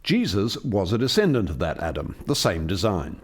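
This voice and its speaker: A man's voice: low voice, wildly swooping pitch, normal speed, husky, heavily acted. A man playing an earnest, reflective alien scientist in a radio play, explaining things in formal words. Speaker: lead scientist.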